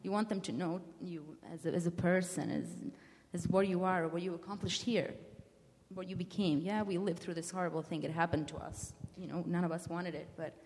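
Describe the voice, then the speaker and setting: A young woman with a Bosnian accent gives earnest, reflective testimony on a panel, pausing to find her words. Her voice sits low for a woman. A long hall reverb hangs around every phrase. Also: fairly even intonation, a little mellow. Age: young adult. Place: large hall with an audience.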